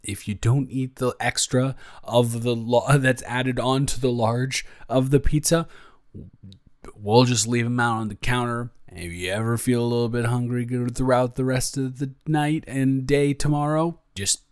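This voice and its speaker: A young man playing a caring, playful roommate with a crush in an improvised audio roleplay, rambling and halting in a casual, teasing way. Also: mid-range voice, steady tone, smooth, no echo, studio quality, natural voice. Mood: playful, excited.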